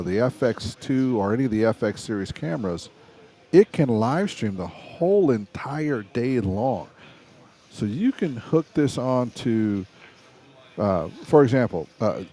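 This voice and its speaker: Raspy middle-aged man, chatty stream host. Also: fairly low pitch, darkish tone. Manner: chatty, rambling, restarts often. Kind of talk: casual live stream talk.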